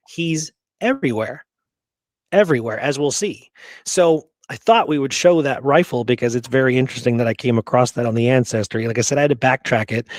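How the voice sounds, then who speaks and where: A man's voice: mid-range voice, dry recording. Dry, close-sounding man hosting history talk. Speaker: history show host. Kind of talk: casual research discussion.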